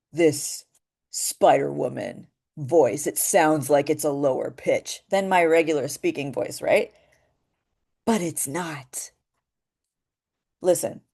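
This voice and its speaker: A woman with a naturally deep voice, leading a voice workshop in a thoughtful, searching way, with many pauses and restarts. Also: mellow. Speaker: voice workshop leader. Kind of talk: reflective workshop talk.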